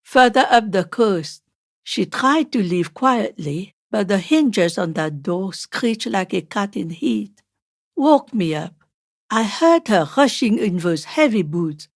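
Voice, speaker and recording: A woman's voice: mid-range voice, no echo, studio quality. A woman playing an old washerwoman in a video game, plain-spoken and unafraid, with a folksy, wry, blunt way of talking. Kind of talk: video game character dialogue.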